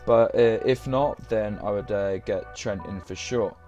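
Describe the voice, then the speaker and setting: A young man, chatting casually on a live stream, slightly croaky from a sore throat, hesitating often with ums and uhs. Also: on the low side, a little slow, mellow, highs cut off, soft background music. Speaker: fantasy football streamer.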